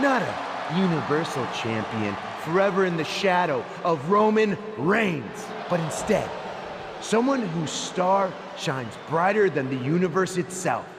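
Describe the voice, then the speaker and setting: A smug, scheming wrestling boss delivering a grand game cutscene promo. The voice is high and swoops theatrically, pompous and sneering. It booms with long arena reverb. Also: male voice, full character voice. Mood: grandiose. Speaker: wrestling show general manager. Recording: booming arena reverb, loud background noise.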